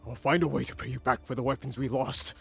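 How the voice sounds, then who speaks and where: A man's voice: mid-range voice, steady tone, fast, gravelly, faint music behind, natural voice. An actor playing a nervous, submissive henchman in an audio drama, hesitant and deferential. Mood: frightened, submissive. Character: frightened, submissive male getaway-driver henchman.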